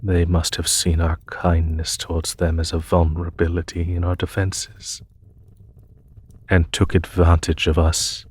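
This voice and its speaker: Male actor as demon emperor, low-pitched, unhurried, husky, courteous, formal, war-weary, intimate fantasy audio roleplay. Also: completely flat delivery. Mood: solemn, reflective. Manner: formal, measured, gently spoken.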